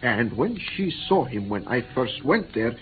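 A man's voice: medium pitch, very animated, a little slow, soft background music. An actor playing a shaken, earnest male interpreter who tells his ordeal hesitantly in a vintage radio drama. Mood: earnest recounting. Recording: tinny, noisy vintage radio recording.

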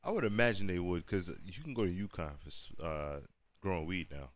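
A man's voice: sits low, animated, brisk, no echo. A young man co-hosting a casual podcast, rowdy and slangy, laughing often. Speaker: podcast co-host.

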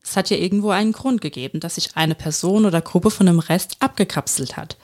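A woman's voice: on the low side, fairly quick, darkish tone. A woman with a Palatinate German accent, co-hosting a casual podcast about the Bible and finishing her co-host's sentences. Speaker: podcast co-host.

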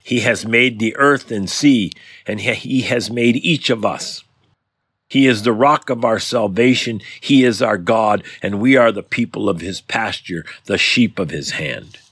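Male pastor for devotional podcast; husky voice, formal scripture-reading delivery, very dry sound. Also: on the low side, unhurried, fairly bright tone, no echo.

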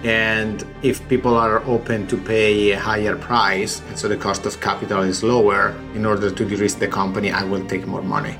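A man's voice: lowish voice, level tone, normal speed, few pauses, music in the background. A man from Italy with an Italian accent, a startup founder talking through his fundraising in an interview, explaining figures step by step. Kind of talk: recorded interview.

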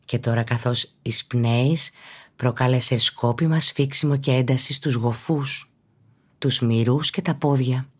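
A woman calmly narrates a guided relaxation practice as a teacher, in a measured, instructional way. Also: a bass voice, breathy edge. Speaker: yoga and meditation teacher, podcast host.

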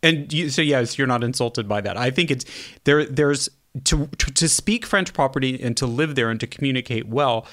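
A man's voice: medium pitch, moderate pace, fairly bright tone. A man hosting an interview, chatty and chuckling, often restarting his sentences. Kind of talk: casual interview chat.

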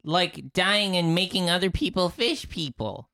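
A woman's voice: fairly low pitch, animated, measured pace, fairly bright tone. A woman playing a tabletop game, goofy and rambling. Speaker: player. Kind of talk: casual tabletop play.

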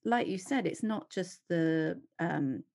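A woman's voice: fairly low pitch, lively intonation, slow, gravelly, darkish tone. A woman hosting an interview, curious and hesitant, with many restarts. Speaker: interview host. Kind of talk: conversational interview.

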